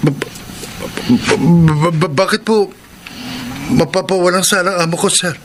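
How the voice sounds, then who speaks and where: A man's voice: high-pitched, unhurried, slight background noise. An actor playing a young male driver who stammers nervously while confessing to a crime in a radio drama. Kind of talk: 1990s radio drama acting.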